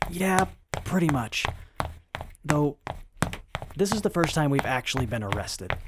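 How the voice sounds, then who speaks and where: Woman acting a very deep-voiced, earnest tech expert. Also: sing-song, background noise. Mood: calm, earnest. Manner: matter-of-fact, measured. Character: Johnny, loyal, earnest, brainy machine expert. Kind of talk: scripted post-apocalyptic audio drama acting.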